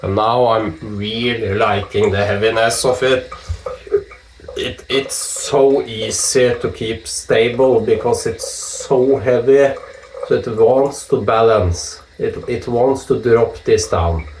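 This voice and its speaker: A man with a Norwegian accent chatting casually while he shaves, joking and swearing in relaxed asides. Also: mid-range voice, takes it slowly, slight background noise. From Norway. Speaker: vlogger shaving with a straight razor. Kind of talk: casual talk while shaving. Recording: echoey room with dripping water.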